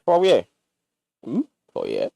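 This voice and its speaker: A man's voice: mid-range voice, slow, creaky, dry recording, clean studio sound. A young Nigerian man giving loose, joking commentary while he plays on a livestream, slipping into pidgin slang. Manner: animated, jokey, mixes pidgin slang. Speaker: gaming streamer.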